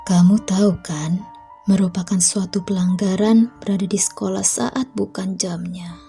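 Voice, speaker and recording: A woman voicing a coldly menacing witch queen in a visual novel, with softly threatening delivery. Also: medium pitch, steady tone, moderate pace, creaky, soft background music, lightly acted. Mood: cold menace.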